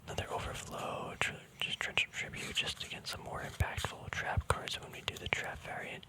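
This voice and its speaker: A man whispering in a casual ASMR style, musing to himself in hesitant, trailing thoughts as he shows something off. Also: normal speed. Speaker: ASMR video creator showing a trading card deck. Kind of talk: whispered ASMR, casual rambling show-and-tell.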